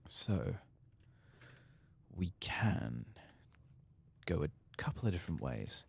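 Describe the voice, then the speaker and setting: A man doing a soft-spoken ASMR game playthrough, murmuring musing asides and sometimes dropping toward a whisper. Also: deep voice, very animated, slow pace, creaky, breathy edge. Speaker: gaming ASMR host.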